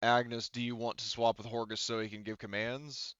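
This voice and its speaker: A man's voice: mid-range voice, flat delivery, moderate pace, a bit bright, smooth, no room sound, muffled recording. A man casually narrating and talking players through a tabletop game as game master.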